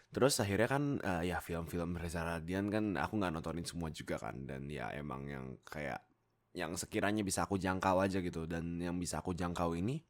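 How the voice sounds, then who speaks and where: A young man with a low-pitched voice and an Indonesian accent talks casually into a desktop mic. He tells personal stories in a loose, digressive way, wandering off and circling back. His manner is chatty and self-deprecating, like a content creator speaking to viewers. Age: young adult. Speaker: YouTube content creator and amateur voice actor. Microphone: Fifine K690 desktop USB microphone.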